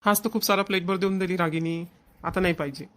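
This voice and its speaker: A man's voice: high voice, steady tone, fast talker, fairly bright tone. A man voicing a dutiful, fussing young married son in a family cartoon, with a Vidarbha Marathi accent. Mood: mildly nagging. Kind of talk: amateur family cartoon voice acting.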